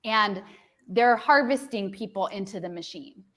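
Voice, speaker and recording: A woman's voice: mid-range voice, measured pace, fairly bright tone. A woman guest explaining her views in long, earnest run-on sentences, with frequent restarts and filler. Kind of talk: casual explanatory talk.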